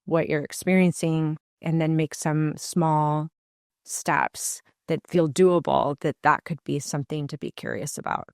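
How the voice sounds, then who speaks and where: A woman's voice: low-pitched, a little slow, dry recording, clean studio sound. A woman hosting an interview podcast, who asks long, reflective, winding questions. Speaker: podcast host.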